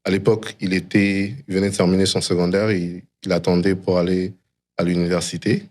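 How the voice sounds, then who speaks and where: A middle-aged man with a low-pitched voice speaks as a guest in a conversation. He recounts things reflectively, in a storytelling way, and drops English phrases into his speech. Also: little pitch movement, a little fast, darkish tone. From DR Congo and Canada. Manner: mixes English phrases into French. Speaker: interview guest, tech founder.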